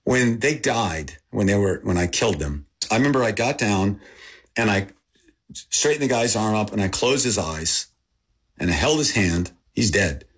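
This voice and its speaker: A man with a low, husky voice tells his story as an interview guest. His first language is Thai, and he speaks in an earnest, halting way, often repeating words as he gathers himself. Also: animated. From Texas, raised in Thailand. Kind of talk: reflective personal testimony.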